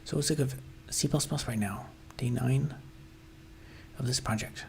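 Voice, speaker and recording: Guy on a coding stream, voice kinda tired n hoarse, keeps clearing his throat, lots of ums, faint hum behind. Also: medium pitch, unhurried, darkish tone. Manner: clears throat often, hesitant with many fillers. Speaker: software developer livestreaming. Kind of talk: casual livestream chat, answering viewers.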